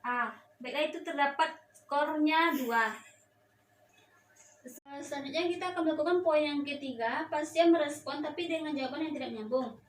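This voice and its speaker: A young woman with a high voice explains a procedure step by step. She sounds hesitant, pausing and restarting as she goes. The sound is echoey and far from the mic, with faint noise behind it. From Indonesia. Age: young adult. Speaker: nursing student giving a practical demonstration. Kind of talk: instructional demonstration, step by step.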